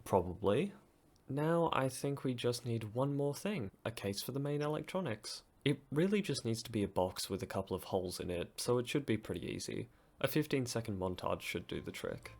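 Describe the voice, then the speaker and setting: A man's voice: mid-range voice, lively intonation, moderate pace, creaky, a little mellow. A young man narrating a DIY project casually, with dry, self-deprecating humour. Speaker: maker presenting a DIY project.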